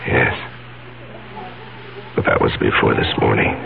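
A man's voice: unhurried, close to an everyday voice. An actor playing a troubled, evasive young businessman in a radio drama, hesitant and weighty in delivery. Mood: guarded. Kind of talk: 1970s radio drama acting. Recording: muffled 1970s radio recording, music behind.

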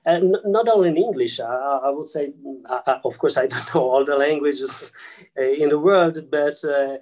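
A man with an Italian accent, a non-native English speaker, explains physics and theology as an interview guest, pausing often with filler sounds and restarting his sentences. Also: on the high side, darkish tone. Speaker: guest scholar.

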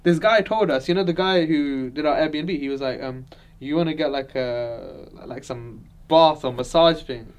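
A man's voice: a little high, normal speed, hardly any pauses, smooth. A man hosting a casual chat, telling stories with lots of laughter. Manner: laughing, animated storyteller. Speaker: podcast host.

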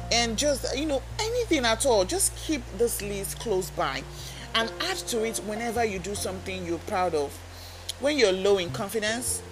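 A woman's voice: medium pitch, low-quality recording. Earnest woman coaching over music. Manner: earnest, coaching, asks listeners direct questions. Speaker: podcast host. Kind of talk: self-help podcast talk. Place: talking alone into a microphone.

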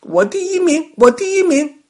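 A man voicing a boastful, excitable little boy in a children's story, exclaiming insistently. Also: high-pitched, moderate pace, slightly rough, voice pushed up, full character voice. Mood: triumphant.

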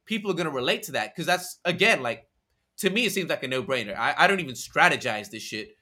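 A young man from Australia talks as a guest in a casual conversation, his voice bright and forward. He rambles with slang and side tangents, energetic and loose. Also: a little high, fast. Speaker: podcast guest.

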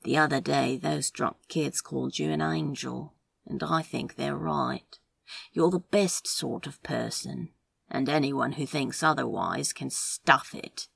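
Woman doing gruff protective guy, super gravelly and low, blunt slangy podcast drama lines. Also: unhurried, fairly bright tone, no echo, heavily acted. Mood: earnest, comforting. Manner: blunt, grumbling, British slang. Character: gruff, secretly tender man, blunt and protective. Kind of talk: audio drama dialogue.

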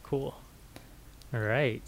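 A man's voice: mid-range voice, fairly even intonation, drawn-out words, noisy background. A man from Hawaii co-hosting a podcast, laid-back and easygoing, reacting with short "nice" and "cool". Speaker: podcast co-host. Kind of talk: casual podcast chat.

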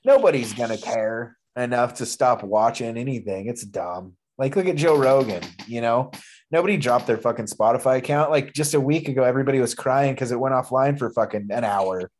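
A man's voice: mid-range voice, fairly quick, a little mellow. A man in his thirties hosting a casual wrestling podcast, ranting in an opinionated, profane way. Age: 30s. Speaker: podcast host.

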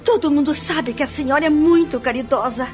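A woman's voice: high voice, brisk, darkish tone, close to an everyday voice. An actress in a radio drama playing a desperate young mother who pleads tearfully. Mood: pleading. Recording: muffled radio play with music.

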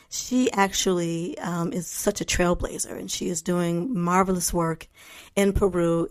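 A woman hosting a podcast, introducing her guest and asking interview questions. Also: on the low side.

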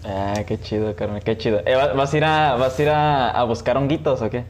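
A man's voice: mid-range voice, a little fast. A man with a Mexican accent hosting a podcast in a casual, slangy, chatty way. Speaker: podcast host. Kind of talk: casual chat.

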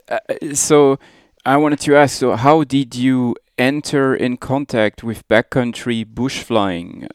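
A man's voice: on the low side, smooth, no room sound, studio quality. A man hosting a casual interview, asking rambling questions that he often restarts. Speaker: podcast host, interviewer.

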